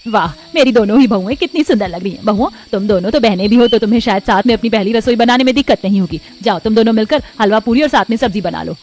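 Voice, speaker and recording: A woman's voice: a little high, fast talker, few pauses, a little mellow, slight background noise, close to an everyday voice. A woman voicing a middle-aged mother-in-law in a cartoon story, formal and instructing. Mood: courteous. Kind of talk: Hindi cartoon story voice acting.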